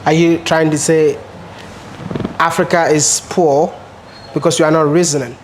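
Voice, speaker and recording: A man's voice: fairly high pitch, level tone, a little slow, slight background noise. A man interviewing a guest, asking probing questions in a conversational tone.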